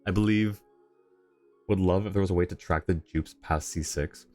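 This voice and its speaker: Deep-voiced man livestreaming a game. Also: moderate pace, mellow. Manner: rambling, thinking aloud, reading chat. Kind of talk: casual livestream chat while playing a game. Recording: game music behind the voice. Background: video game music.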